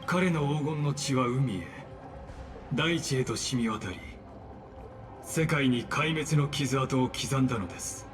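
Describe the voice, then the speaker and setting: A man's voice: medium pitch, natural voice. A man voicing a coolly eloquent scholar villain. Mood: composed, ominous. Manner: formal, polite, measured oratory. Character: cryptic, composed male scholar antagonist, coolly eloquent. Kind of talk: Japanese video game dub acting. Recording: game music behind the voice.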